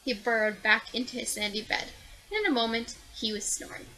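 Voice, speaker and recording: Animated woman reading a picture book. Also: on the high side, fairly quick, poor audio. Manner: animated, expressive. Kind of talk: children's storybook read-aloud.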